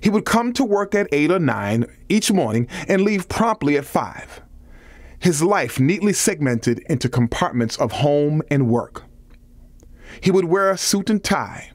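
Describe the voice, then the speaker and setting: A man's voice: on the high side, very animated, a little slow, no room sound. A man reading a nonfiction audiobook as a scripted narration.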